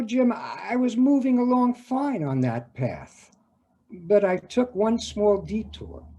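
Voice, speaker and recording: A man acting a dramatic monologue as an earnest, conflicted man confiding in a halting, reflective way. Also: a little high, warm tone, slightly rough, exaggerated voice. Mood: tender, confessional. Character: earnest, conflicted man confiding about money, love and conscience. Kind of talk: dramatic stage monologue in readers theater.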